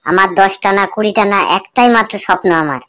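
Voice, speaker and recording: A man's voice: sits high, quick pace, pushed and bright. Man voicing a bawdy, grumbling young village bachelor. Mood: pleading, stubborn. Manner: colloquial, complaining, pleading. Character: Futo, bawdy young bachelor, pleading and evasive. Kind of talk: comic cartoon dialogue and muttered asides.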